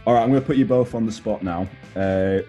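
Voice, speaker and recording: A young man hosts a casual interview show in a fairly low voice. He talks informally into a headset on a video call, putting questions to his guests. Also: quick pace, mellow, faint music behind. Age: young adult. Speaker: radio show host, interviewer. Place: indoors at home, on a video call.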